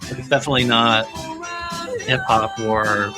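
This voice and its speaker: A man's voice: on the low side, little pitch movement, moderate pace. A man hosting a casual panel chat about music, talking in a rambling, tentative way. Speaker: podcast host.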